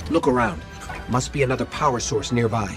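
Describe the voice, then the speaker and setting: A watchful little robot sidekick in a video game. He sounds earnest and crisp, with a lively, mid-range delivery. Music plays behind him. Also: medium pitch, fairly quick. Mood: alert. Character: earnest, watchful small robot companion guide.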